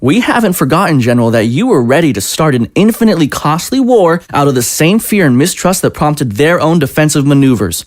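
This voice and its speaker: An actor playing a smooth-talking, placating presidential adviser in an audio drama, formal and wordy. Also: male voice, fairly high pitch, big pitch swings, brisk, hardly any pauses, dry recording, studio quality. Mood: reassuring.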